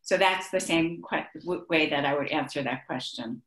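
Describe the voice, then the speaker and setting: A woman's voice: sits low, normal speed, a bit bright. A middle-aged woman with an Israeli accent, a guest author in an online interview, who talks with many restarts and laughs easily. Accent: Israeli-accented English. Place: home room with bookshelves. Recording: echoey room.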